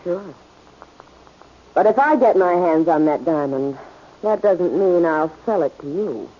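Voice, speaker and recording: A woman's voice: fairly low pitch, lively intonation, measured pace. An actress playing a tough, defiant female crime boss in 1940s radio style, curt and hard-edged. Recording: muffled, noisy old radio recording.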